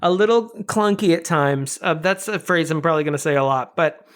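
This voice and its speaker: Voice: medium pitch, brisk. A podcast host in a casual, comedic chat about pop culture, acting out mock quotes while riffing.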